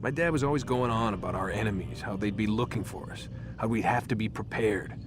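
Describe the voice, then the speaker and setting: A man's voice: fairly low pitch, brisk, noisy background, close to an everyday voice. An actor playing a guarded, wry man in his twenties, casual and laconic, in a video game cutscene. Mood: wry, reflective. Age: 20s. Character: guarded, wry young man held captive, casual ex-assassin. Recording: music behind the voice.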